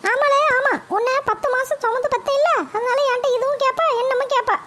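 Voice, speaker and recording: A woman voicing a quarrelsome young mother, shrill and snapping. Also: steady tone, brisk, fairly bright tone, a little hiss or hum.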